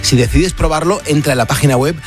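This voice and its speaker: A man's voice: fairly high pitch, fast talker, faint music behind. A man hosting a late-night radio show, talking casually with frequent fillers and restarts. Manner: chatty, hesitant fillers and restarts. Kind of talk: casual on-air chat and live ad read. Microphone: studio broadcast mic.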